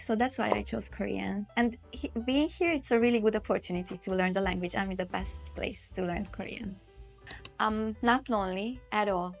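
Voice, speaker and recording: A woman in her late twenties from Hungary, speaking English with a Hungarian accent in a lively, chatty interview, full of "like" fillers. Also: mid-range voice. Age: late 20s. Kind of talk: casual interview chat.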